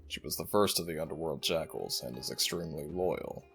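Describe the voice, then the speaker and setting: A man voicing an ancient jackal-headed god in an ASMR roleplay, gravelly and husky, speaking in stately, affectionate, gently teasing phrases to one listener. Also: rumbling low, fairly quick, soft background music, heavily acted. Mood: solemn, gentle. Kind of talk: ASMR roleplay audio drama.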